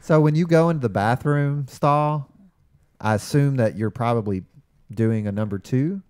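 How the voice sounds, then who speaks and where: A man's voice: mid-range voice, moderate pace, a little mellow, smooth, dry recording. A man co-hosting a casual podcast with dry, wry remarks. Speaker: podcast co-host. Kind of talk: casual podcast talk, partly reading a listener email aloud.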